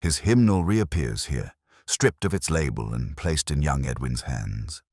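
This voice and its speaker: Man reading as deep, mild-mannered police inspector. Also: animated, measured pace, a little mellow, no room sound, clean studio sound, heavily acted. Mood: quietly grave. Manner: mild, murmuring, understated. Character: courteous, quietly incisive male police inspector. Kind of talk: detective story dialogue.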